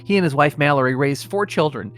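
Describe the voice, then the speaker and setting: Male American comedy host, higher register, playing it solemn over background music, slips between reading and banter. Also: fast talker, a bit bright. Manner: mock-solemn reading that keeps breaking into suppressed laughter. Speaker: comedy podcast host reading mock obituaries. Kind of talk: reading scripted mock obituaries aloud, with casual banter.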